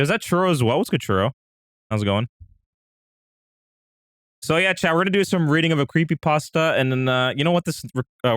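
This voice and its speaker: A man's voice: medium pitch, a bit bright, no echo, clean studio sound. A man hosting a live stream with casual chat, rambling and repeating himself as he talks straight to his viewers. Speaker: livestream host.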